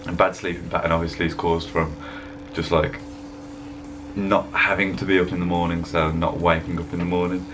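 A man's voice: low-pitched, flat delivery, fairly quick, background noise. A young man talking casually in a rambling, laid-back way as a fitness vlogger, speaking to a webcam at his desk. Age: young adult. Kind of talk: casual voice-over vlog. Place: indoor room, voice-over over gym footage.

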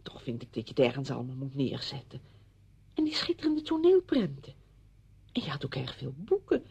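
An actress playing a chatty, meddling woman visiting an old friend in a Dutch radio play, brisk and insistent in manner. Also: extremely low pitch, huge pitch swings, mellow, full character voice. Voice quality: husky, creaky.

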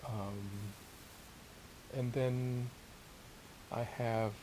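A man's voice: mid-range voice, extremely slow. A middle-aged man from Thailand answering interview questions modestly and hesitantly, searching for words in a casual sit-down conversation. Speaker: interviewed guest, theology teacher and author. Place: small library room with armchairs. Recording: steady hiss and room noise.

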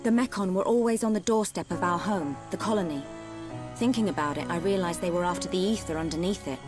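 A woman's voice: lowish voice, fast talker, close to an everyday voice. Actress as earnest young British woman soldier, music. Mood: sombre, resolute. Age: young adult. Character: steadfast young woman soldier, caring and frank. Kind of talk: video game cutscene acting. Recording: game music behind the voice. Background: game soundtrack music.

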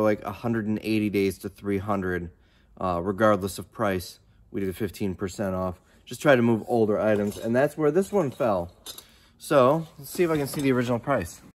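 A man's voice: on the low side, a bit bright. A man in his thirties chatting casually as a reseller vlogger, rambling and thinking aloud into a phone held at arm's length indoors. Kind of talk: casual vlog chat. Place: large warehouse and storage room, indoors.

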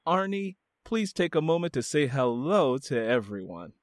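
A man's voice: on the high side, very animated, normal speed, dry recording, clean studio sound. A man hosting a book podcast, chatting in a friendly, rambling way with fillers and restarts. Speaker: podcast host. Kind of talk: casual interview chat.

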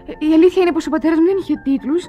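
Sweet romantic ingenue, coaxing, high-pitched, old radio drama, faint music behind. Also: female voice, dark tone, highs cut off. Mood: wistful, coaxing. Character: sweet, lovestruck well-born young woman. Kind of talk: old Greek radio theatre acting.

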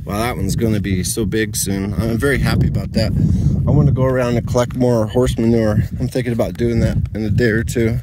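A man's voice: fairly low pitch, fairly even intonation, a little fast, very noisy. A man giving a casual tour of his dry desert garden, rambling and thinking aloud while filming on his phone outdoors. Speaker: home gardener.

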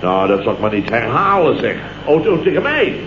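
A man's voice: medium pitch, big pitch swings, normal speed, dull audio, natural voice. Indignant Dutch male boss played by a man, mock-dramatic and clipped, vintage radio comedy, heavy hiss and hum in a roomy recording. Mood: mock-dignified. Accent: Dutch, from the Netherlands. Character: blustering, indignant male company boss telling tales. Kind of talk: 1960s-70s radio comedy acting.

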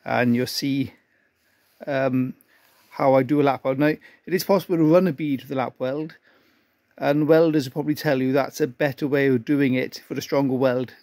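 A man's voice: mid-range voice, steady tone, normal speed, darkish tone, no room sound. Plain-spoken man in a garage. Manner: plain, self-deprecating, explains step by step. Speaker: amateur car restorer demonstrating welding. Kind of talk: casual workshop demonstration. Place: cluttered garage workshop.

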